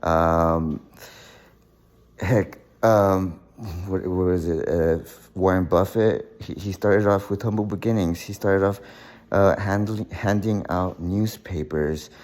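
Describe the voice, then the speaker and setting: A young man telling his life story in a casual monologue, halting often with fillers and repeated words. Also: sits low, steady tone, a little mellow. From United States.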